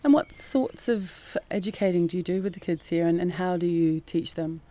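A woman's voice: on the low side, normal speed, warm tone. A woman interviewer asking informal, open questions out in a school garden.

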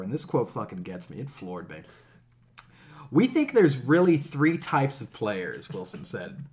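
A man co-hosting a gaming podcast in casual, profane chat, with a sarcastic, incredulous manner and an easy laugh. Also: medium pitch. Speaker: video game podcast co-host.

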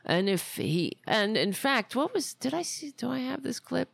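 A woman's voice: high-pitched, very animated, moderate pace, creaky, dry recording. A woman hosting a political podcast in a rambling, indignant, sarcastic way, saying she is losing her voice. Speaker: podcast host. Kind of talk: casual political commentary.

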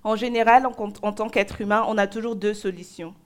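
A woman's voice: mid-range voice, fairly even intonation. Nigerian-born woman preaching in short phrases. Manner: speaks in short phrases, pausing for an interpreter. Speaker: preacher giving a testimony. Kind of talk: sermon in French.

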